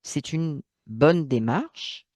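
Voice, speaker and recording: A man's voice: a little high, very slow, darkish tone, dry recording. A man hosting his own channel, giving a spoken monologue in a hesitant way with many fillers, restarts and emphatic asides. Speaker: channel host.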